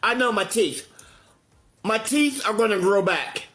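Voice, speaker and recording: A man in his fifties talking in a slow, drawn-out, rambling way in a livestream clip. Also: sits high, fairly bright tone. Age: 50s.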